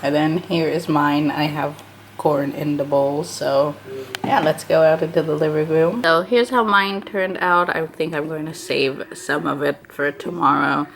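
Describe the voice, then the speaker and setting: A woman's voice: low-pitched, fairly even intonation, measured pace. A woman talking in a casual, chatty, informal way to her vlog at home. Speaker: vlogger. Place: indoors at home, living room and kitchen. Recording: noisy home camera audio.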